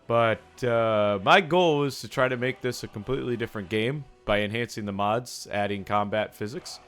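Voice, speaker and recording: A young man with a raspy, sore-sounding voice, casually commenting on his gameplay, often breaking into excited yelps between low mumbles. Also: normal speed, faint music behind. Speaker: gaming YouTuber.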